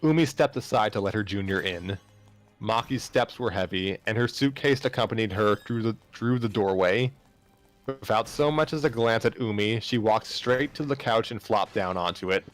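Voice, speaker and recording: Low-pitched man reading a story aloud. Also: normal speed, soft background music. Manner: stumbles and rereads phrases. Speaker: story narrator. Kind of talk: amateur read-aloud narration of fan fiction.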